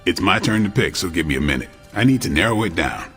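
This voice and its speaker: A man playing a gruff, guarded warrior who is earnest about books, in a terse, dry video game cutscene style. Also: deep voice, animated, quick pace, a bit bright, soft background music. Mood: gruff, slightly defensive.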